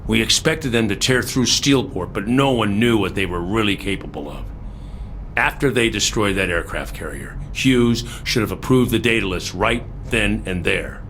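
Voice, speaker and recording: An actor playing a jaded military commander, matter-of-fact, in a scripted game audio log. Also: male voice, fairly low pitch, moderate pace, fairly bright tone, slight background noise. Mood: grim, matter-of-fact.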